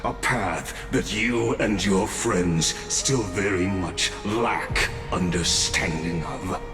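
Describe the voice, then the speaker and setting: An actor voicing a formal, calculating robot gatekeeper in a video game, measured and unfailingly polite. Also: male voice, sits low. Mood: grave warning. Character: courteous, calculating male robot watcher, long-lived gatekeeper. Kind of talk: scripted video game voice acting. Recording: echoing voice over clear background music.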